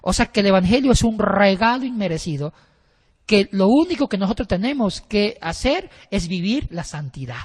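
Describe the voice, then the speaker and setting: A man's voice: high voice, very animated, moderate pace, breathy edge. Man explaining church history, reverberant. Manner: explanatory, with frequent restarts and hesitations. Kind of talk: casual discussion of church history. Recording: long reverb.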